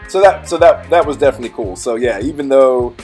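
A man's voice: medium pitch, fast, darkish tone, faint music behind. A middle-aged man vlogging a cruise ship tour, talking in an easygoing, agreeable way and often restarting his sentences. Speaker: travel vlogger. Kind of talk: casual travel vlog chat. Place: aboard a cruise ship, outdoor deck and corridors. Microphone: handheld camera at arm's length.

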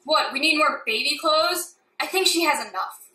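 A woman's voice: sits high, normal speed, sharp tone. A woman acting as a selfish, hot-tempered young wife, scornful and profane in a dramatic domestic argument. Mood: scornful, demanding. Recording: very echoey room, far from mic.

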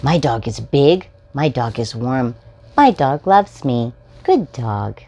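A woman in her forties reading a children's picture book aloud as a storytime host, in simple, deliberately spaced phrases for preschoolers. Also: rumbling low, big pitch swings, mellow. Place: small furnished room at home, indoors. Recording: home recording with faint background noise.

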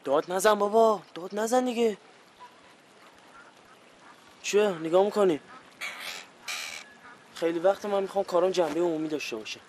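Man playing dramatic show-off who sulks, fairly high voice, faint background noise. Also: pauses often, a little mellow, natural voice. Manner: theatrical, mock-praising, sulky. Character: boastful, self-pitying grown man, dramatic.

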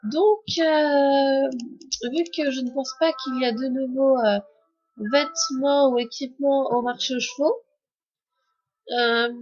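High-voiced young woman with a cold. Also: a little mellow, highs cut off. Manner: chatty, hesitant, many "euh" fillers. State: has a cold. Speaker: gaming YouTuber. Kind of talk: casual game update commentary.

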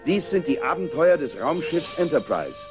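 Over clear music, a solemn male space-adventure narrator speaks in a fairly high voice. The delivery is a dramatic science fiction television opening. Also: big pitch swings, moderate pace.

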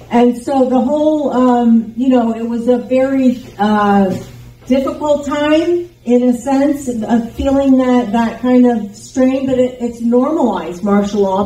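Older woman, raised in Taiwan, native Chinese speaker, campaign speech, slow and earnest, roomy distant recording. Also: fairly high pitch, dark tone. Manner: earnest, rambling with frequent restarts. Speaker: congressional candidate. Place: indoor meeting room with bookshelves. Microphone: handheld microphone. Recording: roomy sound from a distant camera.

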